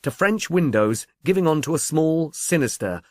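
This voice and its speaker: A man narrating a literary mystery audiobook in a measured, articulate, expressive way. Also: on the high side, dry recording, clean studio sound.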